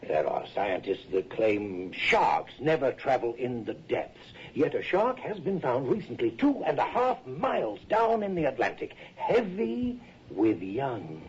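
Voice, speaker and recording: An actor in a radio drama playing an eccentric, brooding professor of sea life, theatrical and intense, on a dull, hissy recording. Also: male voice, mid-range voice, big pitch swings, measured pace, darkish tone.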